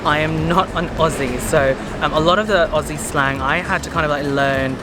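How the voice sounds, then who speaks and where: A man's voice: a little high, moderate pace, over loud music, low-quality recording. A man from Hong Kong with a Hong Kong English accent, vlogging casually outdoors and chatting to viewers. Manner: chatty, addresses viewers as "you guys", many "um"s. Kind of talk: casual vlog to camera. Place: outdoors in a city street. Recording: loud outdoor street noise. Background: loud traffic and street noise.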